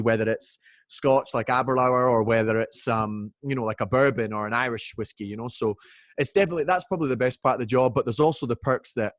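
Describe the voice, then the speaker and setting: A man's voice: mid-range voice, no room sound, studio quality. A Scottish man, a guest on a video call, talking about whiskey in an easygoing, chatty way with plenty of fillers. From Scotland. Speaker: whiskey brand ambassador, guest. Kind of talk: casual interview.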